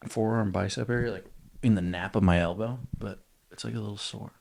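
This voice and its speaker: A man's voice: on the low side, steady tone, a little fast, gravelly, darkish tone. A young man co-hosting a podcast, chatting loosely and joking with plenty of swearing. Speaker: podcast co-host. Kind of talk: casual chat.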